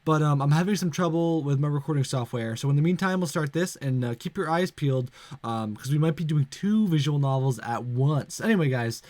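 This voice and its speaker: Animated, higher-pitched man doing let's play commentary. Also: fairly quick, no echo. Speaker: YouTube let's play host. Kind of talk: casual let's play commentary, reading visual novel text aloud. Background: game music.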